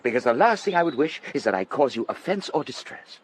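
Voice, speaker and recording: An actor playing a languid, feckless English gentleman in a period radio drama, hesitant and politely self-deprecating. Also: medium pitch, big pitch swings, fast talker, slightly rough. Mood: awkward, confiding.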